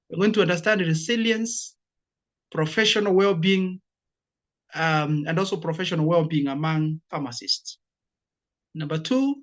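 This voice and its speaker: Guy giving a talk, methodical, walks through points one by one, voice a bit on the high side. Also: a bit bright, lo-fi sound. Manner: measured and formal, lays out points in numbered order. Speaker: university counselor. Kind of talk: formal presentation to professionals.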